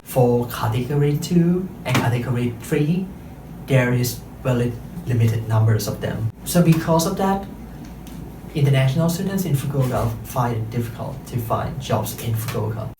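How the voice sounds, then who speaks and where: A man in his thirties from Thailand, speaking English with a Thai accent as a second language, giving a careful prepared talk with pauses and self-corrections. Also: mid-range voice, reverberant, recorded from a distance, background noise. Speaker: interpreter and translator giving a talk.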